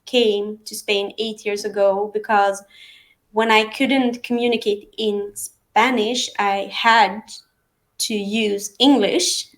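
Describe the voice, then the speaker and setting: A woman from Sweden, whose first languages are Aramean and Arabic, talking through her path in real estate in a relaxed interview with frequent fillers and restarts. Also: medium pitch, slow pace. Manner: thoughtful, many fillers and restarts. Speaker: real estate agent, guest. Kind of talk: casual interview conversation.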